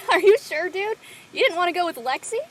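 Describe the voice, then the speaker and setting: A woman playing a playful, blunt, food-loving high school senior girl in a drama table read. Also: animated, a little fast, a bit bright, slight background noise.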